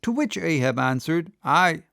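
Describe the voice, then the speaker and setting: Measured, clear-voiced man narrating an audiobook. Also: a little high, dry recording, clean studio sound. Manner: measured, dramatic storytelling. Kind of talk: scripted literary narration.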